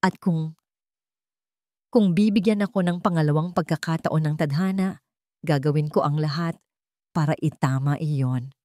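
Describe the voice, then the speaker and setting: A woman voicing a remorseful male ex-lover making a solemn, halting confession in a dramatic story. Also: deep voice, mellow, no echo, clean studio sound, natural voice. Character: remorseful male ex-lover pleading in a televised interview.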